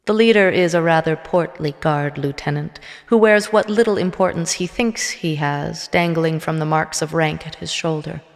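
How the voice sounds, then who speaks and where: Tense female soldier-narrator played by a woman, conflicted, dramatic audio drama storytelling, low voice in long reverb over music. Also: normal speed, hardly any pauses. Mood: tense, hushed.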